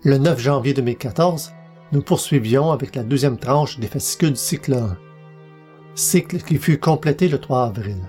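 A man's voice: medium pitch, normal speed, a little mellow. A man hosting a podcast, reading scripted announcements. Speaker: podcast host. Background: music.

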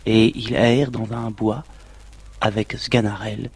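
A man giving a measured, scripted narration that links the scenes of a stage play. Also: lowish voice, flat delivery, a little mellow, slightly rough.

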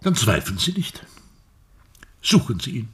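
An actor playing a grave, ailing old physics professor in a German radio play, halting, emphatic and oracular, with a husky, breathy voice. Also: male voice, mid-range voice, very animated, a bit bright, heavily acted. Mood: grave, insistent. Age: older.

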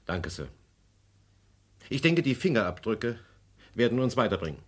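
Brisk investigator, animated and husky. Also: male voice, medium pitch. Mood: insistent, reasoned. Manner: firm, matter-of-fact, commanding. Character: brisk, authoritative male investigator loyal to his men. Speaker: radio drama actor. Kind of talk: German crime radio play acting.

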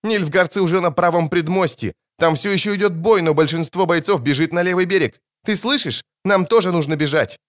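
A man voicing an excitable, frantic young bard in an audiobook, shrieking and declaiming breathlessly. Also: brisk, hardly any pauses, no room sound, clean studio sound. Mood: panicked. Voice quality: shrill, shrieking.

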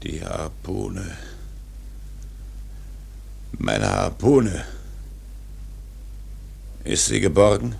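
Old whaling captain: low, gravelly, obsessed and commanding, radio drama. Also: male voice, full character voice. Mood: weary, grim. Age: older. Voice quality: gravelly, rough. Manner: imperious, slow, forceful.

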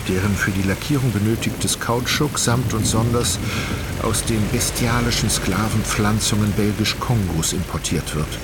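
A man reading scripted literary narration for a radio play in a measured, articulate storytelling manner. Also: mid-range voice, heavy background noise. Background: music.

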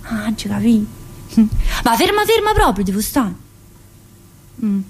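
Woman acting mouthy middle-aged jailbird lady, southern italian accent, highish voice, chatty and teasing, theatre monologue. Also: big pitch swings, unhurried, slight background noise. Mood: teasing, coy. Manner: chatty, confrontational, with frequent interjections and questions. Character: brash, teasing, restless middle-aged female prison inmate. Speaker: stage actress performing a monologue.

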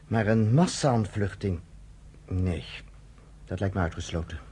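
Young resistance man, 22, earnest, lowish, breathy. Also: lively intonation, unhurried, warm tone, full character voice. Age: 20s. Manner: earnest, matter-of-fact reporting. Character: young camp resistance organizer, man of twenty-two, earnest. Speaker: actor in a radio play.

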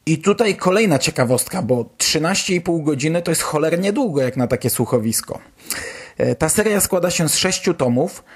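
A man with a Polish accent hosting a podcast, giving news commentary in a casual, chatty, colloquial way. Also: fairly high pitch. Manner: colloquial, chatty, mild swearing. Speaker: podcast host.

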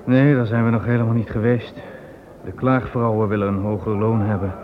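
A man playing a gruff, stubborn tanner. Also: lowish voice, little pitch movement, fairly quick, noisy background, lightly acted. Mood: grim. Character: stubborn grieving tanner, middle-aged man. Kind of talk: radio play acting. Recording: tinny old radio recording.

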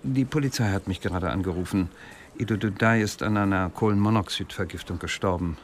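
A male actor as a weary professor in a radio play. His lowish voice is measured and sober, explaining carefully over faint ambience. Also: a little hiss or hum, close to an everyday voice. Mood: sober, troubled. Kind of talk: radio drama dialogue.

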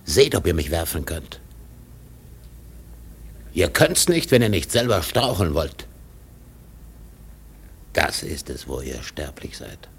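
An actor in a radio drama playing a brooding, defiant seafarer with a gravelly voice and a declamatory manner. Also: male voice, rumbling low, big pitch swings, slow pace, long pauses, full character voice. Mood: defiant, scornful. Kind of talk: German radio drama acting.

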